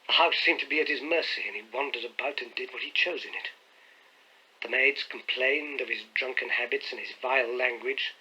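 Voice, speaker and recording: Man as an indignant young gentleman, forceful bright voice. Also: mid-range voice, slightly rough, rough recording. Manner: earnest, indignant, emphatic. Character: distressed, indignant young gentleman recounting family troubles.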